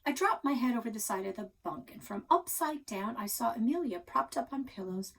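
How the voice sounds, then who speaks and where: Storyteller: woman, higher-pitched voice with a husky edge, expressive children's book narration. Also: a little fast. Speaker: library storyteller reading a children's novel aloud. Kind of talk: expressive read-aloud narration.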